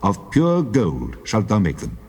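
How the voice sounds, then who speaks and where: A man playing God as a solemn, commanding deity, giving measured, declamatory instructions in a dramatized scripture reading. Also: lowish voice, wildly swooping pitch, darkish tone, slightly rough, heavily acted. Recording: long cavernous reverb.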